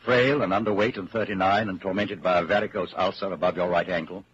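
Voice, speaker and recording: Low, dramatic radio narrator, noisy old broadcast. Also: male voice, steady tone, a bit bright, dull audio. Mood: grave, ominous. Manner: dramatic, deliberate. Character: grave second-person narrator of a bleak dystopian tale.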